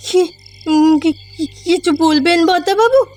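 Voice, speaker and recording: A woman's voice: very high voice, takes it slowly, a little mellow, faint music behind. A woman playing a timid, deferential young village maidservant, hesitant and flustered, in a horror audio drama. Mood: nervous, uneasy. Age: young adult. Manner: hesitant, flustered, breathy interjections.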